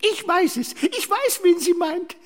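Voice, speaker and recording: A woman's voice: very high-pitched, lively intonation, unhurried, in a large hall. A woman playing a cheeky little male helper ghost in a children's radio play. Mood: teasing.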